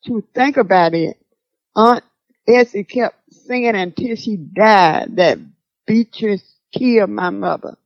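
A woman playing a wounded older daughter in an audio drama, creaky-voiced, on a dull recording. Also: medium pitch, takes it slowly, dry recording. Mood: hurt, reflective. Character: wounded older daughter remembering a family secret.

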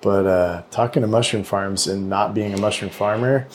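A man's voice: on the low side, normal speed, very noisy. A man chatting casually as an interviewer, with a loose, rambling, slangy and often profane way of talking. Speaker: interviewer, podcast host. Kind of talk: casual chat.

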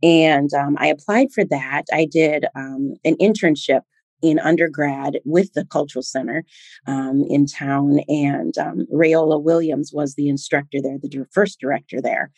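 Low, mellow-voiced woman telling her story. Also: steady tone, a little slow, no room sound. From Liberia, raised in Minnesota. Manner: reflective, many ums. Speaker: teacher and consultant, guest. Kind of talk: interview storytelling.

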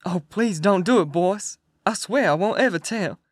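A man's voice: high-pitched, normal speed, no room sound, studio quality, pitched above the performer's own voice. A man voicing a frightened, tied-up male robber who pleads and blubbers for his life. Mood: begging.

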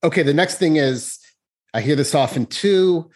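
A man's voice: on the high side, lively intonation, normal speed, a little mellow. A man hosting a casual interview, talking in a rambling, thinking-aloud way. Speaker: interviewer, host.

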